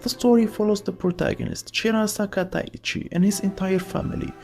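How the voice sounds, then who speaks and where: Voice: medium pitch, steady tone, fairly quick, darkish tone, soft background music. A narrator reading a scripted voice-over commentary with opinionated, wry asides. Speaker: video essay narrator.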